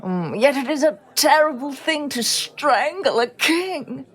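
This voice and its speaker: A woman playing a curious, easily shocked foreign visitor in a conversational, comedic audio drama, with a playful, expressive manner. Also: high-pitched, a little slow, a faint crowd. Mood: curious, amused.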